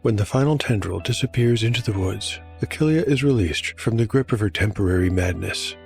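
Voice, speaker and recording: Male solo game master, low pitch, narrates rolls and reflects aloud over clear music. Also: lively intonation, brisk, a little mellow. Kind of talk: solo tabletop actual-play narration.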